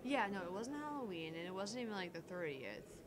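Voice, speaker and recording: A woman's voice: mid-range voice, big pitch swings, fairly quick, noisy background. A woman co-hosting a gaming livestream, chatting casually and reading game text aloud.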